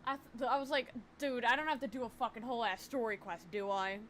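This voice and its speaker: A woman's voice: on the high side, quick pace, slight background noise. A woman giving casual, blunt gameplay commentary with plenty of swearing, as game music plays. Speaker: gaming streamer.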